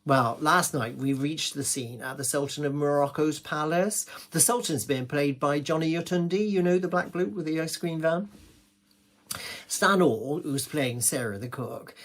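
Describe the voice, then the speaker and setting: A man's voice: fairly high pitch, normal speed, a bit bright. A man acting a gossipy village pantomime pianist. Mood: gossipy, confiding. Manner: confiding, rambling, gossipy, with malapropisms. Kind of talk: theatrical comic monologue.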